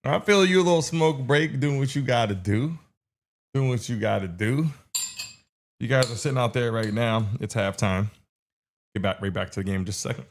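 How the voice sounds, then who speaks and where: A man's voice: mid-range voice, moderate pace, slight background noise. A man hosting a casual reaction livestream, often repeating his phrases. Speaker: livestream host.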